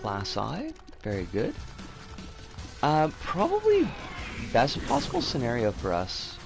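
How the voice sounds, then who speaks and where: Scratchy-voiced man streaming, lively pitch swings. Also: slow pace, gravelly, over music. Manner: casual, sweary, opinionated. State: hoarse, voice strained by an oncoming cold. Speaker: game streamer. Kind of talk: casual live game commentary and chat.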